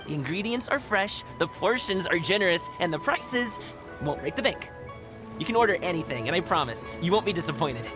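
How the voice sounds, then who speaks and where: A man's voice: high voice, expressive, fairly quick. An actor playing a cheerful, generous young male guard in a video game, who laughs often and talks in an easygoing way. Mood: friendly. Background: game music.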